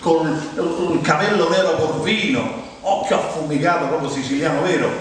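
A man's voice: fairly high pitch, normal speed. A man with a Roman accent performing a humorous theatrical monologue in a colloquial, anecdotal way, acting out other people's remarks. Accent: Roman Italian. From Rome, Italy. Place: theatre stage, recorded from afar. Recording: very echoey hall, distant mic, faint noise.

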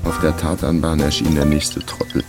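A man's voice: very deep voice, flat delivery, normal speed, over music. An actor playing a wry, down-on-his-luck amateur sleuth who narrates a radio crime drama with dry sarcasm. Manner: dry, sardonic. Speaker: narrator and lead character.

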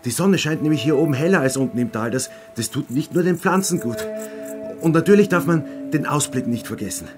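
An actor playing a shy, earnest young mountain labourer in a radio drama, speaking haltingly and repeating his words. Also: fairly high pitch, expressive, darkish tone, faint music behind, lightly acted. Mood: nervously eager.

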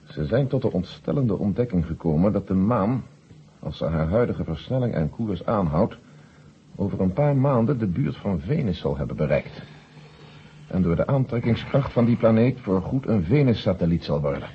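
An actor playing a calm, authoritative general in charge of a moon mission in a 1970 radio drama. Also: male voice, fairly low pitch, moderate pace, close to an everyday voice. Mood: grave, composed. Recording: noisy, dull old radio recording.

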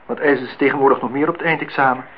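A man's voice: mid-range voice, fairly even intonation, very fast, lightly acted. An actor playing a courting bachelor department head in his thirties in a radio play, formal, teasing and a little hesitant, in intimate dialogue. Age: 30s. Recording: tinny, noisy old radio play recording.

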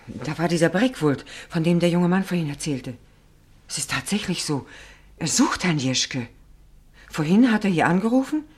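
Actress as a flustered, low-voiced anxious woman. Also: lively intonation, moderate pace, close to an everyday voice. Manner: flustered, hesitant, fretful. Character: anxious, hesitant female neighbour. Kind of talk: German radio play acting.

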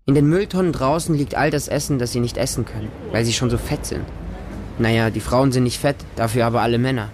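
An actor playing a homeless street child, narrating a radio drama in simple, intimate short sentences. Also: male voice, medium pitch, quick pace, background noise, natural voice. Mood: earnest, plain. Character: homeless Moscow street child who befriends stray dogs. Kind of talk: first-person radio drama monologue.

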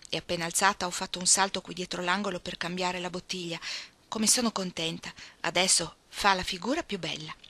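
Lady doing a serious little storybook girl, humble, proper, bright voice. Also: lowish voice, fairly even intonation, fairly quick. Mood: relieved, pleased. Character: earnest youngest sister, a little proper girl. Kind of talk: audiobook character voice.

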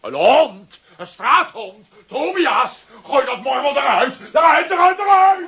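A man's voice: very high voice, very animated, unhurried, creaky, a bit bright, exaggerated voice. An actor playing a stubborn, pompous middle-aged man in a radio play, blustering and bossy.

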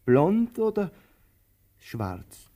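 A weary, brooding tramp in a radio play, with a dark, mellow voice. He speaks slowly and haltingly, musing through long pauses, then pressing with anxious urgency. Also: high-pitched, huge pitch swings, heavily acted. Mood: probing, insistent. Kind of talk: slow theatrical radio drama acting.

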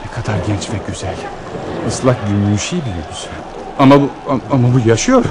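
A noisy old radio drama recording of a man acting as an anxious railway station master. The voice swings widely, theatrical and soft-hearted, able to bark orders or muse quietly. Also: medium pitch, lively intonation, brisk, close to an everyday voice. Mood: musing, awed. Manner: theatrical, swinging between barked orders and hushed musing.

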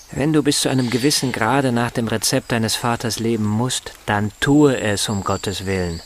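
An actor in a German radio drama playing a bitter, sardonic husband in a wheelchair who lectures and rationalizes with emphasis. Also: male voice, lowish voice, fairly bright tone, slight background noise, lightly acted. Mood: agitated, defiant.

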